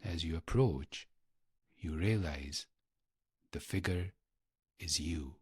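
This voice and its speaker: Slow deep guy doing guided meditation, reverent, short phrases w long pauses, super close dry sound. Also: a little mellow, slightly rough, no room sound.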